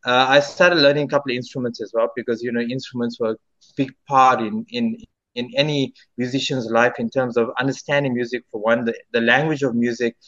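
A man's voice: medium pitch, steady tone, a little fast, fairly bright tone, highs cut off. A South African singer in his thirties, answering interview questions in a rambling way with many restarts. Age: 30s. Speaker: singer-songwriter guest.

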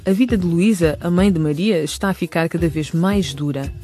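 A woman's voice: on the low side, fairly quick, over music. A woman reading scripted narration as the announcer of a radio series.

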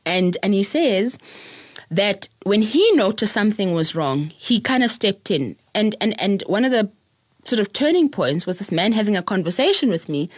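A woman's voice: mid-range voice, big pitch swings, moderate pace, no echo, clean studio sound. A South African woman telling her personal story as a podcast guest, thoughtful, often laughing and repeating words as she thinks.

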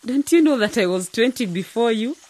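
A woman's voice: fairly high pitch, animated, a little fast, darkish tone, slight background noise, natural voice. A woman playing a pushy, scheming middle-aged mother in a radio drama, bossy and cajoling.

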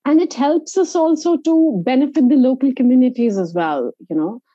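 Mellow Indian woman in a roomy space. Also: high-pitched, moderate pace, lo-fi sound. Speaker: interview guest, inclusive travel organiser. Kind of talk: conversational interview answers.

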